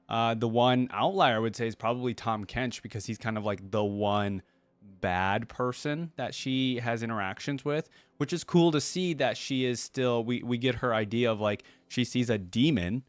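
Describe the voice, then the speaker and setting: A man's voice: mid-range voice, smooth, no room sound. A man giving casual reaction commentary as a host, rambling as he thinks aloud.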